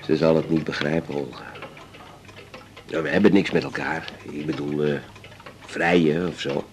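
An actor playing a troubled middle-aged father in a radio drama, confiding haltingly and repeating his words. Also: very deep voice, steady tone. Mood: confiding, uneasy. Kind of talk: naturalistic 1980s radio drama acting.

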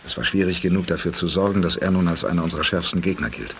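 A man's voice: sits low, fast talker, a bit bright, slight background noise. A man acting a resolute, terse space commander in a 1980s German sci-fi radio play. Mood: calm, secretive. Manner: terse, commanding, matter-of-fact. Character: resolute male space commander with a secret plan.

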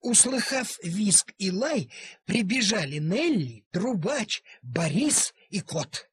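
A man's voice: sits high, big pitch swings, takes it slowly, fairly bright tone, slightly rough. A man narrating a story expressively, in a theatrical way, drawing out key words.

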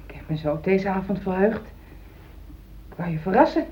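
A woman's voice: mid-range voice, lively intonation, brisk, lightly acted. An actress in a Dutch radio play, playing a pragmatic, ambitious housewife who insistently reassures and backs her husband. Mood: eager. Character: loyal, ambitious middle-aged housewife, pragmatic, supportive of husband. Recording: dull old radio recording.

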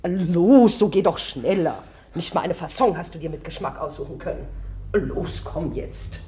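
A commanding middle-aged matriarch, scolding, brusque, lively swooping voice, 1950s radio drama. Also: female voice, lowish voice, normal speed, husky. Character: bossy domineering mother of grown daughters. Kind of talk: 1950s radio play acting.

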